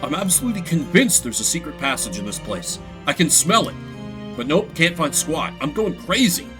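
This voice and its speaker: A man plays a chatty rodent castle worker from a video game, with a lively, slightly high voice over clear music. The character is casual and eager. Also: moderate pace. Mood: exasperated.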